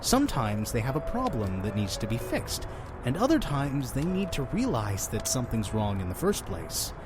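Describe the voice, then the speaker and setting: An actor playing a chatty, pushy innkeeper of a mysterious inn in an audio drama, with an effusive, theatrical manner. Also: male voice, mid-range voice, wildly swooping pitch, moderate pace, noisy background. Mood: earnest, mysterious. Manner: effusive, talking over his guest.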